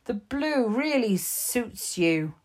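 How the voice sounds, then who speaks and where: A woman's voice: fairly high pitch, sing-song, slow, darkish tone, pitched above the performer's own voice, heavily acted. A woman voicing a lazy, fawning male police officer in a children's story, wheedling and full of excuses.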